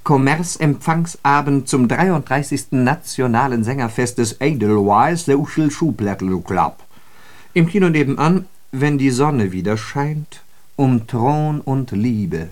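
An actor playing a sardonic, disillusioned socialist who observes city life in a radio drama, with ironic, declamatory and emphatic phrasing. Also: male voice, mid-range voice, animated, a little slow, natural voice. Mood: biting, mocking. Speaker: radio play speaker. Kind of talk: literary German radio drama monologue.

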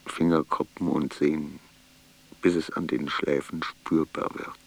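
Brief: ailing, feverish loner, radio drama monologue, extremely deep and creaky, weary, inward. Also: male voice, flat delivery, measured pace, full character voice. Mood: weary, introspective. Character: sick, feverish man brooding over his notes. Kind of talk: radio play, intimate first-person monologue.

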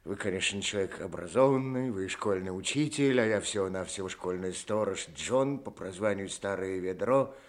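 An actor playing a talkative, humble old school caretaker with a folksy, deferential manner in 1960s radio drama style. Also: male voice, deep voice, normal speed, few pauses, natural voice. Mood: humble, eager. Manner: deferential, folksy, talkative, often says sir.

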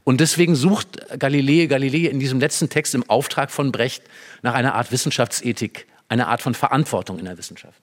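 Emphatic man explaining drama, mid-pitched. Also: brisk. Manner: explanatory, emphatic, acts out short quotes. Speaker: literary discussion speaker. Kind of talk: animated analytical discussion of a play.

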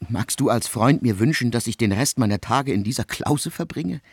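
A man playing a reserved, lonely composer of about forty in a radio drama, speaking formally and haltingly, as if confiding. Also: mid-range voice, animated, darkish tone, husky, no room sound, studio quality. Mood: hesitant confession.

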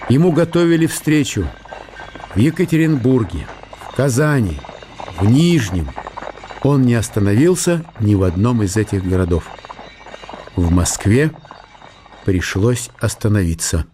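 A man reading a literary historical story as a radio narrator, in measured short phrases. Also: mid-range voice, animated, mellow, slight background noise. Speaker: narrator of a radio audio play.

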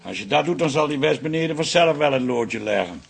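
An actor playing a tired, blunt, bewildered husband in a radio drama, middle-aged. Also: male voice, fairly high pitch, lively intonation, fairly quick, vocal fry, breathy edge, heavily acted. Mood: grumpy, dry. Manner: blunt, grumbling, tactless.

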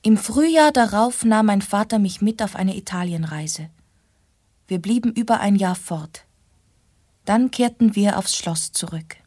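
A sombre noblewoman narrates her haunted past in a radio drama. Her delivery is very slow, with a breathy, husky voice. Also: mid-range voice, expressive, a little mellow. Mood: wistful.

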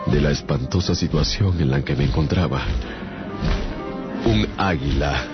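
A man's voice: rumbling low, unhurried, muffled recording, over loud music. A man playing a melancholic traveler who narrates his own adventures in a dramatized radio story. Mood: grave, suspenseful. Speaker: narrator and lead actor.

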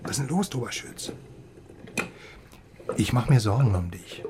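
An actor playing an excitable, chatty West Berlin journalist in a radio drama, halting and exclaiming as he talks to a friend. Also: mid-range voice, background noise, lightly acted. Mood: worried, reproachful. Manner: halting, animated, swinging from murmur to exclamation. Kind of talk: naturalistic radio drama dialogue.

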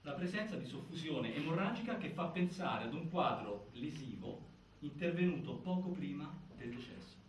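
An actor playing a grave narrator of a prison death inquiry, reciting findings with emphatic delivery and halting pauses in theatrical documentary style. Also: male voice, a little high, expressive, lightly acted. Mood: grave, insistent. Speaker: stage actor narrating an investigation. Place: indoor stage, picked up from afar. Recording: distant, echoey, noisy theatre recording.

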